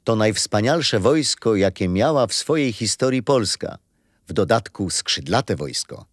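A kindly old grandfather in a children's radio play, explaining things patiently with gentle storytelling. His intonation moves around in a lively way and the sound is close and dry. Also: fairly low pitch, measured pace, dry recording. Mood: storytelling, amused.

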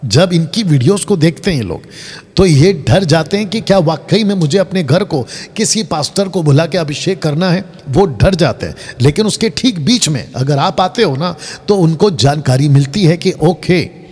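Husky man preaching in a reverberant space. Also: a little high, lively intonation, moderate pace, darkish tone. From India. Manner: conversational preaching, mixing Hindi with English words; rhetorical questions. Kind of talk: online sermon.

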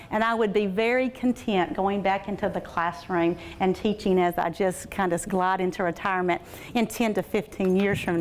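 A woman, a school administrator giving an energetic, folksy training talk to teachers in a large meeting room on a lapel mic. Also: mid-range voice, slight background noise. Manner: energetic, folksy, animated gestures. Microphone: lapel mics.

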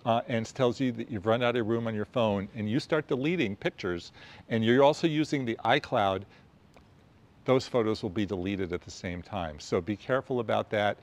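A man's voice: on the low side, moderate pace. An American man in his sixties presenting gear tips to camera as a video host, emphatic and fond of listing points. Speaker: host of a travel photography video show. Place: outdoors on a quiet residential street.

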